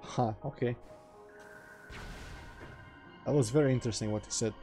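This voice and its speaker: A man's voice: medium pitch, normal speed, mellow, soft background music. A man from Russia, a native Russian speaker with a mild Russian accent, giving casual, dry commentary as he plays a video game. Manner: dry, sarcastic asides. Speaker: gameplay commentator. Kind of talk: casual let's play commentary.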